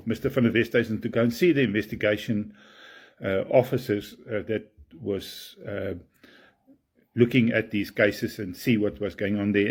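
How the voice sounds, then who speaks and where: A man from South Africa with an Afrikaans accent, speaking English as a second language in a halting, indignant monologue full of fillers. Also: on the low side, darkish tone, husky. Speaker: video commentator. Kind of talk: casual monologue to camera.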